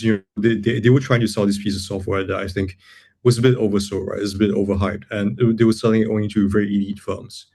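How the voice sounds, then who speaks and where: Young man with a gravelly voice. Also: on the low side, darkish tone. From Singapore. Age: young adult. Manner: many restarts and repeated words, thoughtful and explaining. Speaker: guest, lawyer and software builder. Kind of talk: casual interview talk.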